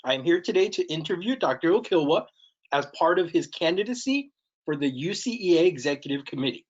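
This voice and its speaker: A man's voice: fairly high pitch, lively intonation, fairly quick. A young man hosting a podcast interview, reading a formal scripted introduction and asking questions carefully. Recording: dull lo-fi audio.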